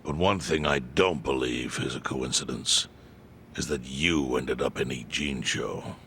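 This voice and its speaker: A very deep-voiced grave informant. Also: male voice, bright, forceful tone, a little hiss or hum, full character voice. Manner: measured, explanatory.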